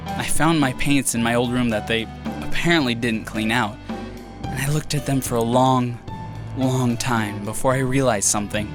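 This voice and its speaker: Male actor as a young man obsessed with his lost memories, for an audio drama; halting, self-questioning, average pitch, over a music bed. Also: fairly even intonation, lightly acted. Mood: brooding. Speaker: lead character's monologue. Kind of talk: audio drama inner monologue.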